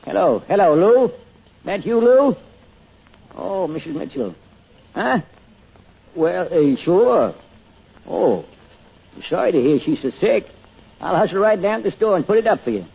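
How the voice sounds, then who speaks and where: A man's voice: high voice, very animated, a little slow, vocal fry, pauses often, breathy edge, heavily acted. An actor playing a spirited, affectionate American small-town grandfather and druggist in an old-time radio drama, with homespun turns of phrase. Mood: reminiscing. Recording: tinny vintage radio recording with music.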